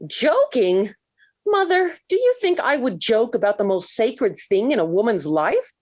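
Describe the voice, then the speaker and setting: An actress playing a dreamy, melodramatic, lovesick American teenage daughter in a 1940s radio sitcom, gushing and theatrical. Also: female voice, fairly high pitch, very animated, a little slow, darkish tone. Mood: lovestruck. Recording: vintage 1940s radio recording.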